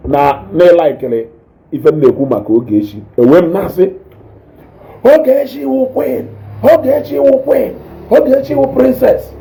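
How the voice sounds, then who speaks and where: A man with a Nigerian accent and a naturally loud voice, hosting a casual live stream, reading viewer comments and mixing English with Igbo while he eats. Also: sits high, unhurried, gravelly, poor audio. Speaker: online show host reading viewer comments.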